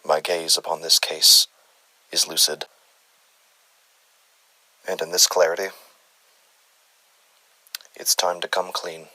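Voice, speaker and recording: A man's voice: deep voice, flat delivery, takes it slowly, long pauses, pushed and bright, husky, no room sound, full character voice, low-quality recording. Doctor Adam Dwyer, a man playing a brooding doctor. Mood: grave, confessional. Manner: formal, wordy, self-searching confession. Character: brooding male doctor confessing a strange case.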